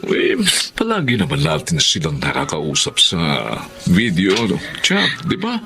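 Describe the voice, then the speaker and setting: A man's voice: low voice, huge pitch swings, measured pace, gravelly, bright, forceful tone, soft background music, full character voice. A man playing a determined, ambitious middle-aged Filipino father in a radio drama, emphatic and persuasive, with a Filipino accent. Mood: reassuring.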